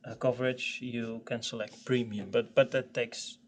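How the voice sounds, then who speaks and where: A man explaining technical settings in an informal training session, haltingly, often repeating words as he searches for phrasing. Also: mid-range voice, flat delivery, slight background noise. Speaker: product expert explaining software.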